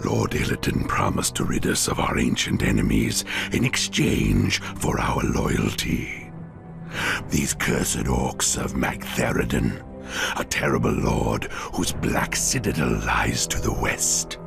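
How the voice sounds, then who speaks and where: Croaking, very deep old sage. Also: male voice, over music, exaggerated voice. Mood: solemn. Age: older. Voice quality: extremely gravelly, rasping. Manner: solemn, measured. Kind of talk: dramatic fantasy game cutscene acting.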